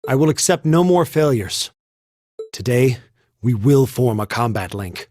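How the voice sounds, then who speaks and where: An actor playing a proud, uptight young student in a video game, with a stiff, formal and emphatic delivery. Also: male voice, mid-range voice, animated, a little slow, a little mellow, no echo. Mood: stern, determined. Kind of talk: English video game voice acting.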